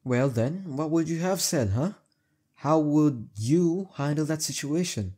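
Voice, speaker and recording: Man playing a smitten young male friend, husky, relaxed tone, conversational, emphatic, intimate audio roleplay. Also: on the high side, a little slow. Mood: defensive, teasing.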